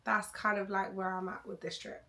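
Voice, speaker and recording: A young woman vlogger, hoarse from losing her voice, chatting to camera in a rambling, candid way. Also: mid-range voice, level tone, normal speed, sharp tone. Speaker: travel vlogger. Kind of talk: casual chat to camera.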